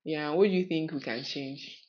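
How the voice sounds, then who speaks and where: A woman's voice: sits low, moderate pace, dull audio. A woman with a Nigerian accent hosting a podcast, talking casually and outspokenly with rhetorical questions. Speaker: podcast host. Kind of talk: casual conversational podcast talk.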